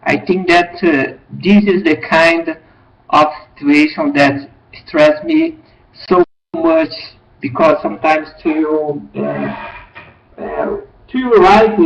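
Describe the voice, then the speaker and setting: A man in his forties with a Brazilian Portuguese accent, speaking as a student in halting classroom English with many fillers. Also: on the high side, highs cut off, a little hiss or hum. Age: 40s.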